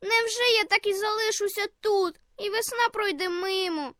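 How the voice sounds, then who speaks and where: A woman's voice: very high-pitched, normal speed, sharp tone, dry recording, clean studio sound, pitched above the performer's own voice. A woman voicing a tiny, fragile snowdrop flower that talks in a thin, trembling little voice, timid and polite. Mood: pleading.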